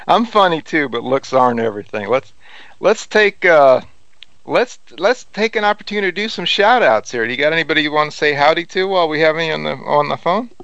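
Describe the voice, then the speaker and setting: A man's voice: fairly high pitch, animated, a little fast, fairly bright tone, no room sound. A man hosting a radio interview, asking questions in a chummy, joking way. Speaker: radio host. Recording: dull lo-fi radio sound.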